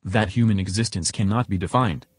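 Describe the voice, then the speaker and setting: A man's voice: fairly low pitch, brisk, husky, no echo, clean studio sound. A man playing an earnest, philosophical chatbot in an interview podcast, answering in a succinct, matter-of-fact way. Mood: earnest, measured.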